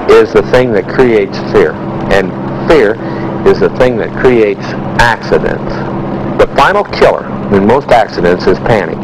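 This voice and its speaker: A man's voice: lowish voice, noisy background. An American man giving informal, folksy instructional advice as an experienced pilot, on an old 1960s training film soundtrack.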